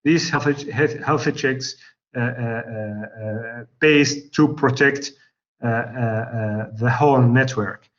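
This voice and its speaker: A male lecturer with an Arabic accent, losing his voice, teaching a class in a halting way with many pauses and restarts. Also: mid-range voice. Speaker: university lecturer. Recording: slightly dull lo-fi recording.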